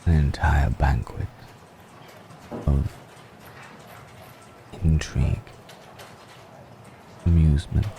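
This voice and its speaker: A man playing an ancient, suave vampire noble in an intimate ASMR roleplay, speaking formally and deliberately with long pauses in a gravelly voice, seductive and affectionately amused. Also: steady tone, warm tone, slight background noise, exaggerated voice, low-quality recording. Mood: tender, admiring. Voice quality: very deep, gravelly.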